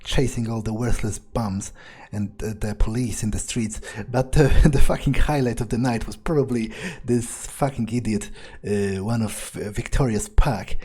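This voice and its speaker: A man's voice: medium pitch, moderate pace, few pauses, mellow, breathy edge, lightly acted. A man playing a cocky, crude vampire who relishes violence, with a hesitant, swearing drawl, in a roleplayed video game. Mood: gloating.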